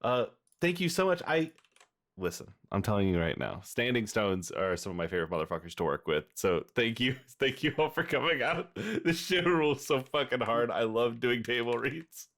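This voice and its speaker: A man's voice: mid-range voice, very animated, moderate pace, a bit bright. A man hosting a livestream in casual chat, effusive and sweary. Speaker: host.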